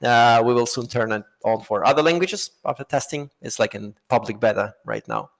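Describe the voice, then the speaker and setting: A man's voice: medium pitch, big pitch swings, brisk, vocal fry. Chatty man. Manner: self-described chatterbox, talkative and loose. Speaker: guest, app co-founder. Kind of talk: casual podcast conversation.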